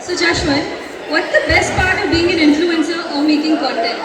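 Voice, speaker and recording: A woman's voice: very high-pitched, fairly even intonation, normal speed. A young woman hosting an on-stage interview at a college fest and putting upbeat questions to the guest like an emcee. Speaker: student host. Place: large hall with a crowd. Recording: echoey hall from far off, crowd noise.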